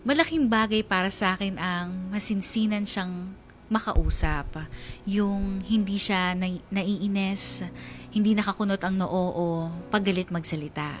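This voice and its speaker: Radio drama narrator, female actor: troubled young daughter, confiding, medium pitch, music bed. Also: unhurried, close to an everyday voice. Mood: pensive, relieved. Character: troubled, confused young woman confiding her family's secrets.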